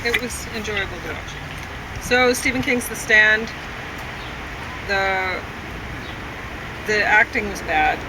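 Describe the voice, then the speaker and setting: A woman's voice: medium pitch, slow pace, long pauses, background noise. A woman reviewing films in a casual, unscripted chat, rambling and often correcting herself as she goes. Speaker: film reviewer.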